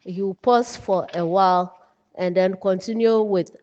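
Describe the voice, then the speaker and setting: A Ghanaian woman delivers a lesson at a slow, deliberate pace. She breaks her teaching into short, emphatic phrases. The recording is dull and muffled, with long reverb around her voice. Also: medium pitch, fairly even intonation, dark tone. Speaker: writing teacher. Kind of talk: instructional lecture from notes.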